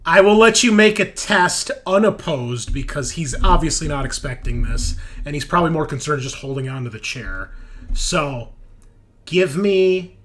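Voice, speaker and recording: A man's voice: fairly high pitch, animated, normal speed, a bit bright, slight background noise. A man acting as game master, narrating a tabletop roleplaying game and explaining rulings to players in a conversational way. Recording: music playing behind the voice.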